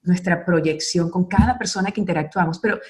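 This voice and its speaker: A middle-aged woman from Venezuela speaks earnestly as a radio guest, reflecting aloud and pausing to weigh her words. Her tone is thoughtful and sincere. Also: fairly low pitch, darkish tone. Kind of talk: reflective interview talk.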